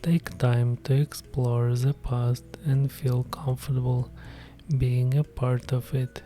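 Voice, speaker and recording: A man leads a guided meditation in a hushed, whispery voice that is deep, dark and mellow in tone. He keeps an unhurried, even pace with almost no rise and fall in his pitch. Faint music plays softly behind him.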